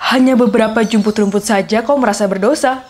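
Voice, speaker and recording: A woman's voice: on the high side, quick pace, a little mellow, natural voice. A woman voicing a young nobleman turned thief in a children's folktale, with a direct manner. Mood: puzzled. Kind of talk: acted dialogue in a children's folktale. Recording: noisy track with birdsong. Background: birdsong and outdoor ambience.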